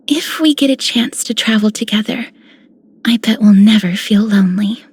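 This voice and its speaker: An actress playing a gentle, shy young woman in a game, with a soft, breathy voice. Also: medium pitch, moderate pace. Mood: hopeful, friendly. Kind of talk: scripted game character lines.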